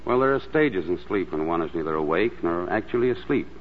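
Very low-voiced, reassuring doctor. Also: male voice, animated, a little fast, in a large hall, narrow, tinny audio, a little hiss or hum. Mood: soothing, light. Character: reassuring, gently teasing male sanatorium doctor. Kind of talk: 1940s radio acting. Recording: thin, boomy 1940s radio broadcast, faint music.

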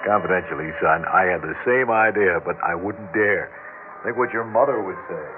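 Actor as a genial, low-voiced radio-drama dad. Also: male voice, moderate pace, noisy background, close to an everyday voice. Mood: wry. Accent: American. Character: genial, wry family father and husband. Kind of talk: 1960s radio drama acting. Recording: dull vintage 1960s radio recording.

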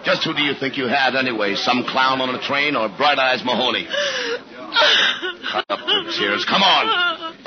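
An actor with an American accent playing a brusque, sarcastic, suspicious police sergeant who talks in wisecracking slang in a 1950s radio thriller. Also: male voice, mid-range voice, very animated, moderate pace, pauses often. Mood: biting. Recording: dull, noisy 1950s radio recording.

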